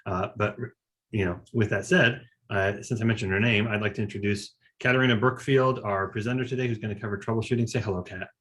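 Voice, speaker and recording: Casual lowish male webinar host. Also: fairly even intonation, fast, a bit bright. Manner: casual, filler-heavy, friendly. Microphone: video call.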